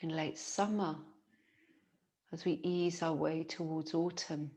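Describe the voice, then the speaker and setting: Female yoga nidra guide, low-pitched, very slow delivery, soothing and gentle, long pauses. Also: steady tone. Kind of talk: guided relaxation meditation.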